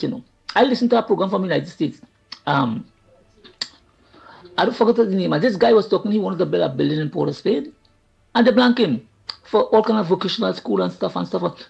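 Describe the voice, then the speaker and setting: A man with a Trinidadian accent calling in to a show, speaking in an earnest, preacherly way with a gravelly, husky voice. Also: high-pitched, animated, quick pace, darkish tone. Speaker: caller. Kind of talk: impassioned call-in testimony with religious language. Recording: dull lo-fi call audio.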